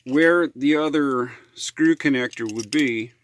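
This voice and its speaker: A man's voice: mid-range voice, takes it slowly, a little hiss or hum. An American man talking casually in a how-to vlog, in a rambling, hesitant, folksy way. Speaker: RV living vlogger.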